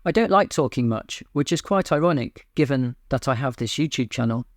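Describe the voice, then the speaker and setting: Deadpan monotone man, dry and close. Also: medium pitch, fairly quick, dry recording. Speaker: YouTube channel host. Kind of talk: scripted personal monologue. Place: home.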